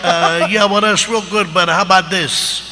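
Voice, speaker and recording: A man's voice: sits high, fairly even intonation, normal speed, sharp tone. A man voicing a cocky, streetwise cartoon wise guy in a live comedy script reading. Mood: breezy, shifty. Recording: echoey convention hall, background noise.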